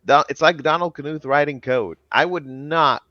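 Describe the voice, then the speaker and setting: A man's voice: fairly high pitch, normal speed, sharp tone. A man hosts a podcast from a home studio, talking animatedly and rambling, often repeating words. His headset sound is dry and a little dull, with no room echo. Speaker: podcast host. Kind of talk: casual interview chat.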